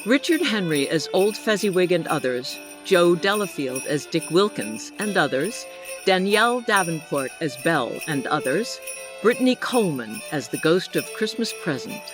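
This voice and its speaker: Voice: medium pitch, sing-song, moderate pace. An announcer giving a scripted radio credits reading in a formal, orderly manner. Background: jingling holiday music.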